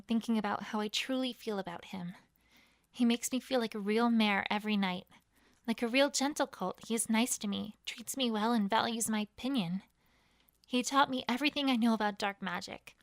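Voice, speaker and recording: A woman's voice: a little high, fairly bright tone, no room sound, clean studio sound. A woman reading fan fiction as first-person story narration, in character as a proud pony queen.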